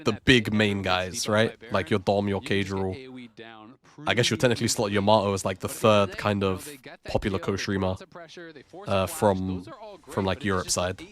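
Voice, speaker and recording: A man's voice: on the low side, fairly bright tone. A man with a stuffy, nasal voice from a cold, chatting loosely as he co-streams a match. He reacts to the plays and answers chat as he goes, in an easygoing tone. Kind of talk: casual live co-stream chat.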